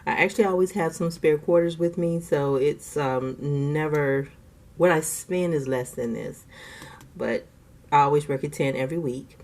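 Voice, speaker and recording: A woman hosts a casual budgeting vlog at home, her voice low and mellow. She sounds a little congested and clears her throat now and then. She chats easily, laughs often and counts bills out loud. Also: normal speed. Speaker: budgeting channel host.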